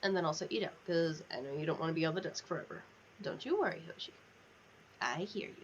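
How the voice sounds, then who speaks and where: A woman's voice: sits low, expressive, fast talker, a little hiss or hum. A woman livestreaming a video game, chatting informally and in a rambling, affectionate way into a headset mic. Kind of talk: casual livestream chat over gameplay. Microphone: sensitive headset mic. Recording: dull, lo-fi headset audio.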